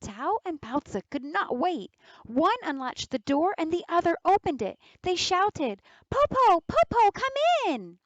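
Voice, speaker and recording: A woman narrates a children's story with a highish, very expressive voice. She reads deliberately and dramatically, taking her time, in a close, dry sound. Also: dry recording, highs cut off.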